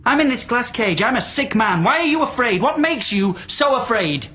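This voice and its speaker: A man's voice: extremely high pitch, very animated, normal speed, pushed and bright, full character voice. A restless male prisoner in a radio drama, out of his own century. He rambles and probes, pleading one moment and goading the next. Faint noise and ambience sit behind the voice. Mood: intense, accusing. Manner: probing, rambling, swinging between tender and menacing.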